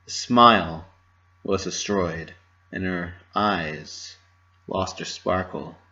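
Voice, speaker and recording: A man's voice: deep voice, takes it slowly, pushed and bright, dull audio, a little hiss or hum. A man narrating a story as a scripted dramatic reading.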